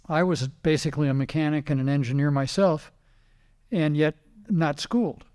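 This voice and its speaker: A man's voice: a little high, darkish tone, clear, resonant tone. An older American man reminiscing casually in an interview, telling anecdotes and acting out remembered dialogue. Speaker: retired racing driver and team owner, interview guest.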